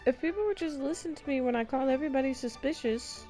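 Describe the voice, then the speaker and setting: A woman's voice: high voice, fast talker, dark tone, soft background music. A young woman game streamer giving casual, playful, reactive live commentary.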